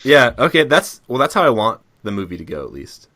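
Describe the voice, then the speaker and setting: A man's voice: mid-range voice, sing-song, fairly quick, pushed and bright, a little hiss or hum. A man hosting a comedy podcast, chatting casually with his co-hosts. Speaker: podcast host.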